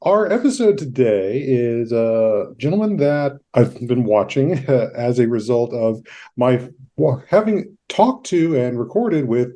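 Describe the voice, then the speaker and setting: A man's voice: medium pitch, sing-song, measured pace, dark tone. A man hosting a podcast, introducing and interviewing a guest, stumbling a little over his words as he reads. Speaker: podcast host.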